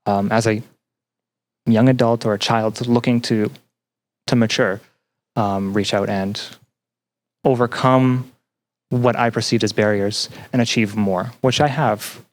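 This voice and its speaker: A young man reflecting earnestly and haltingly as a guest in a podcast interview. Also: lowish voice, steady tone, no echo. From Canada. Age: young adult. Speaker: game developer and student.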